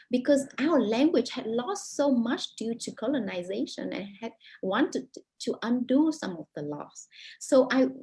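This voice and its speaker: Woman, Vietnamese accent, non-native English, guest author on a video call; husky tone, reflective, halting delivery. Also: mid-range voice, a little mellow, poor audio. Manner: thoughtful, many restarts and pauses. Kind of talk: interview answer over video call.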